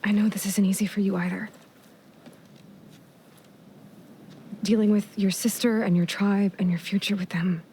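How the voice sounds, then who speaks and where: An actress playing an earnest, guarded young woman warrior in a video game, speaking with an American accent in a soft, breathy, halting and intimate way. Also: mid-range voice, steady tone, a little hiss or hum, close to an everyday voice. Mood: warm, reassuring. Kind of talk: naturalistic video game cutscene acting.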